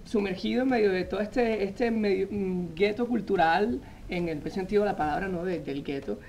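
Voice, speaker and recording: A man, a magazine editor telling his story as a guest in a TV studio interview, animated and full of "eh" fillers, speaking into a lapel mic. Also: sits high, normal speed, slight background noise. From Colombia. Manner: animated storytelling, frequent "eh" fillers, gestures while talking. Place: TV news studio set.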